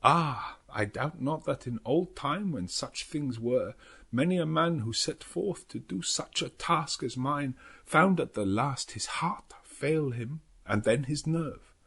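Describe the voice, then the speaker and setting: Guy doing old professor for an audiobook, husky, grave and haunted, dramatic halting delivery. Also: fairly high pitch, animated, fairly bright tone, voice pushed up, exaggerated voice. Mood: brooding, haunted. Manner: slow, dramatic, halting foreign phrasing. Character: elderly Dutch professor, solemn, anguished vampire hunter. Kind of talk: audiobook character narration.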